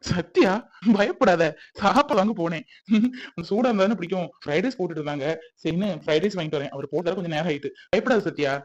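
A man's voice: high-pitched, animated, fast talker. A young adult man playing a protective young man who soothes his partner and keeps checking that she agrees. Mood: reassuring, firm. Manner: soothing, insistent, repeats "okay?". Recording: dull lo-fi audio.

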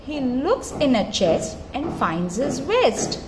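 A woman reading a picture book aloud to a small child in an expressive, singsong, encouraging way, pausing to give simple prompts. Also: high voice. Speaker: mother reading to her toddler. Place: tiled floor in a room at home. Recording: clearly noisy home phone recording.

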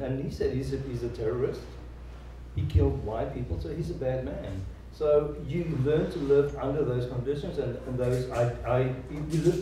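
A man from South Africa in his thirties, a guest telling his life story in an interview, earnest and halting, with many restarts. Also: a little high, warm tone, a little hiss or hum. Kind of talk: conversational oral-history interview. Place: large echoey room, seated on stage. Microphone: handheld mic. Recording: roomy hall sound, mic picked up from a distance.

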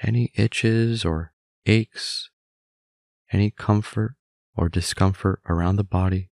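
A man guides a meditation in a low voice with a gravelly edge. He speaks very slowly and calmly, giving gentle, measured instructions. The sound is dry and close. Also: dry recording.

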